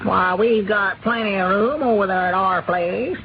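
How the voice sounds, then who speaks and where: An actor playing a good-hearted, agreeable old farmer who repeats himself in a folksy rural Arkansas accent, in a 1930s radio drama. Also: male voice, sits high, moderate pace, gravelly. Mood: earnest. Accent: rural Southern US, Arkansas. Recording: noisy, dull 1930s radio recording.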